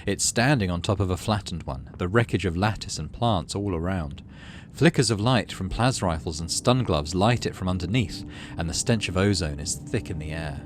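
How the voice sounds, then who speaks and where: A man's voice: low voice, expressive, normal speed. A man narrating a visual novel dramatically, with casual asides as a gaming channel host. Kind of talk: casual let's play commentary between readings. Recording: game music behind the voice.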